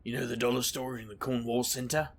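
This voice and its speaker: A man playing a boastful, scheming supernatural investigator in an audio drama, wry, glib and theatrical, with a gravelly, husky voice. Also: medium pitch, level tone, brisk. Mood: breezy, glib. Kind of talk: audio drama narration and dialogue.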